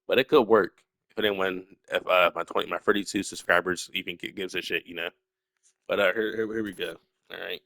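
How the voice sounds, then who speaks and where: A man's voice: sits low, fairly even intonation, brisk, gravelly, fairly bright tone, no room sound. Young man rambling with a stutter. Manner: stutters, filler-heavy, restarts often. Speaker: solo video creator. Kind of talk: rambling casual monologue.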